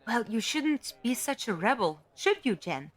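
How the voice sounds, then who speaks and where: A woman's voice: a little high, big pitch swings, measured pace. A woman as a teasing, lilting young trapeze girl. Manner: playful, mocking. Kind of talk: audio drama acting.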